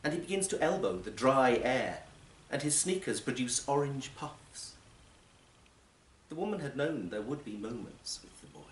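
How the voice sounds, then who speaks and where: Expressive man reading literary fiction aloud. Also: mid-range voice. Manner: measured, deliberate, dramatic phrasing. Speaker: actor and audiobook reader. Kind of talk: literary story reading, scripted narration. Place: performance space in a library, live event. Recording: roomy live recording with faint noise.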